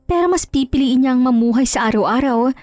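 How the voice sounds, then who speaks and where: A woman's voice: high voice, brisk, darkish tone, dry recording. A woman with a Filipino accent narrating a scripted romantic drama as a storyteller. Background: music.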